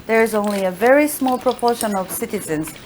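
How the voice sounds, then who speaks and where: Woman interviewer: mid-range voice, scripted narration into brisk questions, noisy recording. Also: medium pitch, expressive. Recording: noisy background.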